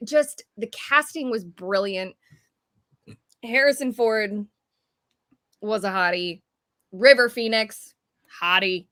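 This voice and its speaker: A woman's voice: a little high, sharp tone, dry recording, clean studio sound. A woman giving her chatty, enthusiastic take as a panelist in a casual podcast discussion.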